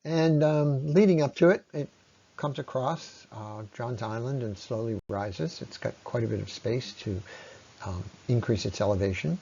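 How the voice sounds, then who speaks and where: A man narrating an informal slide presentation in an explanatory way, with halting pauses. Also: medium pitch, vocal fry, dark tone, breathy edge, dull audio. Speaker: presenter on local ecology.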